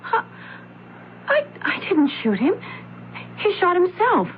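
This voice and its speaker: A woman's voice: extremely high pitch, huge pitch swings, takes it slowly, heavily acted. A woman playing an anxious, accusing young heiress, emotional and insistent, in an old-time radio drama. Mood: shaken, pleading. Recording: thin, noisy phone-like vintage radio recording.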